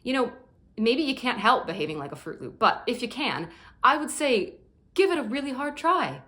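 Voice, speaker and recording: A woman's voice: a little high, big pitch swings, moderate pace, sharp tone. A woman performing a dramatic monologue as a blunt, exasperated scold with a sarcastic, cutting manner. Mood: harsh. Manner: sarcastic, cutting, foul-mouthed.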